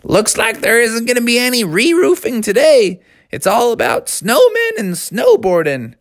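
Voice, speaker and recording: A man voicing an excitable young boy frog in an exuberant children's storybook manner. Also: extremely high pitch, normal speed, pitched above the performer's own voice, full character voice. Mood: cheerful. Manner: exuberant, shouting and singing exclamations.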